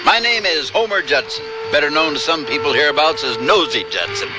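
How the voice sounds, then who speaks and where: A man's voice: a little high, expressive, brisk, lightly acted. Male actor as nosy small-town western reporter, glib, folksy, wry, swinging intonation, music behind, radio play. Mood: breezy, friendly. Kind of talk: old-time radio western acting.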